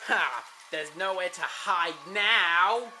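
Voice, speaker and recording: A menacing ghostly male steam engine put on by a woman reading a storybook drawls his taunts slowly and loudly. The voice stays close to her own bright tone, with faint music underneath. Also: on the low side, heavily acted. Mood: gloating. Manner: gloating, taunting, slow drawl.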